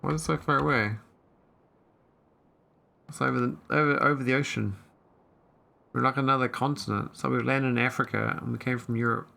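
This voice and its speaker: Chatty man with a gravelly voice. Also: medium pitch, fairly even intonation, a little fast, pauses often, pushed and bright. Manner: thinking aloud, rambling with fillers. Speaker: gameplay streamer. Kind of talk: casual let's-play commentary.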